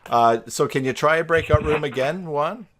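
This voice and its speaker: A man's voice: a little high, normal speed, fairly bright tone, slight background noise. A man hosting a casual live stream over a video call, chatting in a rambling, jokey way.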